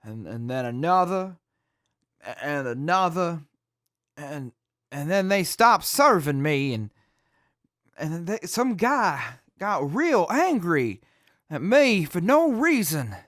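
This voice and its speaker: A man playing a drunk, sheepish, good-natured crewman in an audio drama, rambling haltingly with slurred speech. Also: fairly high pitch, very animated, fairly bright tone, dry recording, clean studio sound, full character voice.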